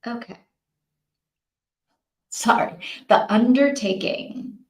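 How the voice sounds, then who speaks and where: Chatty, expressive woman in an echoey room. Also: fairly high pitch, takes it slowly. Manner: chatty, expressive, thinking aloud, reacting with interest. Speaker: host of a live reading-sprint stream. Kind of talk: casual livestream chat with viewers. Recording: roomy, echoey sound.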